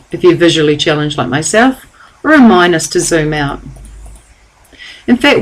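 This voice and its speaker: A woman giving a calm, step-by-step software tutorial over a screen recording. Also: lowish voice, poor audio. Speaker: software trainer.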